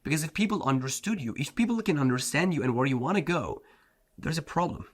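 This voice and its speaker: A man's voice: on the high side, lively intonation, fast. Blunt casual man, Turkish native. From Turkey. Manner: blunt, casual, slangy, says "bro" and "like" often. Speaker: self-improvement coach. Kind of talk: motivational talk to camera.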